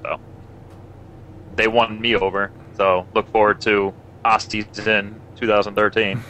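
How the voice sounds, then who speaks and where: A man in a casual online voice chat, talking loudly and eagerly about a game's competitive modes. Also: fairly low pitch, level tone, unhurried, noisy background. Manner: loud, enthusiastic, talks in long runs. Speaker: competitive gamer in a stream discussion. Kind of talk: casual group chat over voice call.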